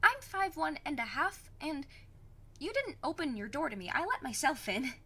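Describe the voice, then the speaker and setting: A woman's voice: high-pitched, sing-song, moderate pace, pushed and bright, heavily acted. A woman playing a plucky, cocky fifteen-year-old girl in an audio drama, self-assured and formally worded. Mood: cocky, insistent.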